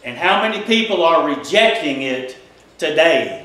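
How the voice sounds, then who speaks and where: Folksy pastor preaching in an echoey church. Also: male voice, fairly high pitch, a little slow. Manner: folksy, animated, gesturing widely while preaching. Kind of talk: sermon. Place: small church sanctuary.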